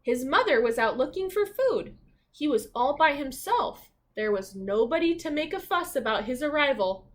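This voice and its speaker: A woman teacher reading a children's storybook aloud expressively, pausing to comment and ask questions. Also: high voice, very animated.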